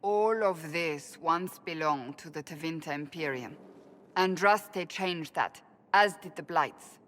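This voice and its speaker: A brooding, devout warrior in a fantasy game. Her voice is fairly low and earnest, ringing with long reverb as she speaks gravely. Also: takes it slowly, a bit bright, slight background noise. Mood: reflective, earnest. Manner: grave, thoughtful. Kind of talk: dramatic game acting.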